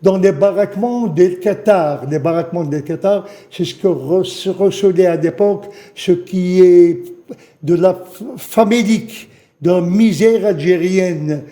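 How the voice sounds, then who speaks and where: An older man from Algeria tells his eyewitness story as a guest in a TV studio. His voice sits high for a man but sounds very dark and mellow. He speaks haltingly and often repeats his phrases. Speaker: witness guest. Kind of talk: spoken testimony in a studio interview.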